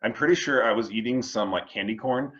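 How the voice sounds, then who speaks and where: A man hosting a casual discussion of readings, reflecting in a halting, rambling way and often checking in with the listener. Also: medium pitch, a bit bright, lo-fi sound. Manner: halting and rambling, checking in with "you know" and "huh". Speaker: host of a family read-along discussion.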